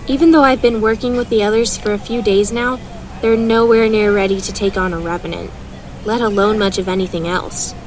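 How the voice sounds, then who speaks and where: A woman's voice: mid-range voice, fairly even intonation, fairly quick, lightly acted, rough recording. A woman playing a feisty, determined young mage leader in an audio drama, talking in an informal, direct way. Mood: matter-of-fact. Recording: faint background noise.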